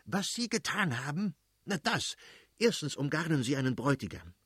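A man's voice: fairly high pitch, animated, moderate pace, fairly bright tone, slightly rough, dry recording, studio quality, pitched above the performer's own voice. A man voicing an irascible aristocratic father in an audiobook, blustering and mocking as he scolds his wife. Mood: furious, scornful. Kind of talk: dramatised audiobook reading.

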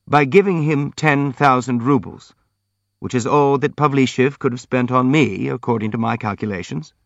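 Audiobook role: gentle young prince, performed by a man, courteous, earnest, timid, hurrying to explain himself. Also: medium pitch, no room sound, highs cut off, pitched above the performer's own voice, natural voice. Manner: polite, earnest, hastily apologetic.

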